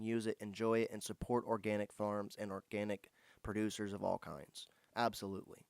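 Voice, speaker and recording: A man's voice: medium pitch, smooth, no room sound, studio quality. A man speaking in an earnest, easygoing way as he answers viewer questions in a casual vlog. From United States, Indiana. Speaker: host of a foraging and herbal channel.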